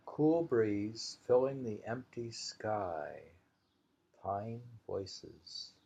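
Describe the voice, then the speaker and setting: Slow, deep gravelly man reading haiku. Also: expressive, mellow. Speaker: reader of a haiku anthology. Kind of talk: slow poetry reading with casual commentary. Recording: dull lo-fi home recording.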